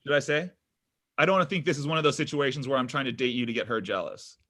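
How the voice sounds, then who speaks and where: A man's voice: mid-range voice, fast, pushed and bright. An actor at a table read playing a needy, pushy thirty-something man on a date, whiny, defensive and over-explaining. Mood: awkward, defensive. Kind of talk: table read of a comedy pilot.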